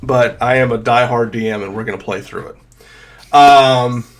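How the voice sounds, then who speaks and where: A man's voice: medium pitch, measured pace. Congested DM in his thirties, casual rambling recap, video-call desk mic. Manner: casual, rambling with many fillers and restarts. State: congested from a cold. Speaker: dungeon master. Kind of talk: game master recap of a tabletop campaign.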